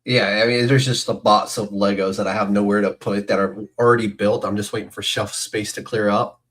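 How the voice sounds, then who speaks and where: Male podcast host, lowish voice, chatty, rambling, excitable. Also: brisk. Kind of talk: casual podcast interview.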